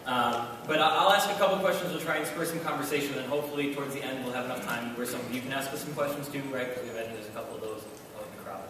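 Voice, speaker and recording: A man from Wisconsin moderating a convention panel, talking in a halting way with many fillers and restarts. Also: mid-range voice, noisy background. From Wisconsin, USA. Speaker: panel moderator and bar owner. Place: large convention hall. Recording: echoey hall mic from far off.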